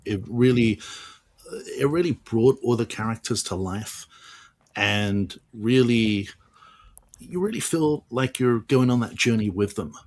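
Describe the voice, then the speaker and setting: A man's voice: mid-range voice, a little slow, darkish tone. A man being interviewed as an author, speaking hesitantly with many restarts and fillers. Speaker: author and guest. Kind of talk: casual interview.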